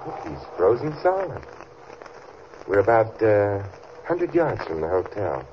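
An actor playing a suave, dryly witty gentleman sleuth in an old-time radio drama, speaking in an unhurried, wry way. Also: on the low side, sing-song. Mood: wry, determined. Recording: dull, noisy old radio recording.

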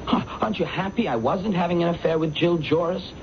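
A man's voice: fairly high pitch, a little fast, lo-fi sound, close to an everyday voice. An actor playing an insecure, ordinary man who stammers and pleads in a radio mystery drama. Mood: pleading. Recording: noisy band-limited old radio recording.

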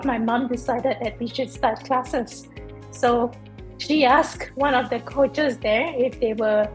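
A young woman from Singapore, answering interview questions thoughtfully, with pauses and fillers. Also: a little high, low-quality recording. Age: young adult. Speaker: Paralympic swimmer, guest.

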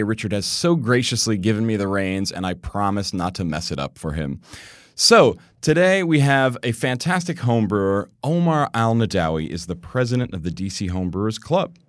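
A man's voice: fairly low pitch, lively intonation, normal speed, no echo, studio quality. A man acting as a podcast interviewer and host, addressing listeners and his guest. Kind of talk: podcast interview with prepared introduction.

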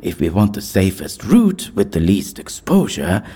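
A slow, very deep, husky navigator. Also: male voice, wildly swooping pitch, warm tone, full character voice. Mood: calm, firm. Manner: measured, deliberate, terse. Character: calm, cagey man guiding fugitives by back roads. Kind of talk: audio drama acting.

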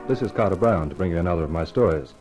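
A man's voice: deep voice, lively intonation, racing, a little mellow, soft background music. A man hosting a scripted radio mystery, introducing it in a formal showman's manner. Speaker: author-host introducing the story.

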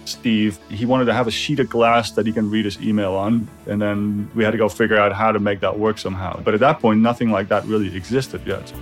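A man's voice: on the low side, steady tone, brisk, hardly any pauses, faint music behind. A man being interviewed as a designer, recalling past work in a casual, informal, loosely phrased way. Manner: informal, loosely phrased, often quoting dialogue. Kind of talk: casual spoken recollection.

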